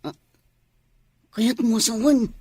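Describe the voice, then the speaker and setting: Woman as a husky, mellow tomboy sweetheart. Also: a little high, steady tone, slow, dry recording, clean studio sound. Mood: lovestruck. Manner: sweet-talking. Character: devoted lovestruck tomboy suitor, teasing young woman. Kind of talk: Ilocano radio drama acting.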